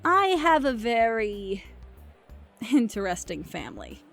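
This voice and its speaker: A woman voicing a coy, sly female pony who acts the modest author in an audio play, sweet and drawn-out. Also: fairly high pitch, sing-song, vocal fry, fairly bright tone, faint music behind, exaggerated voice. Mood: wry. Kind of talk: audio play character acting.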